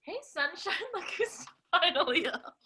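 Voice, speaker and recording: Cheery storybook grandma, read by a woman, bright, high-pitched, giggly and folksy. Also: big pitch swings, measured pace, full character voice.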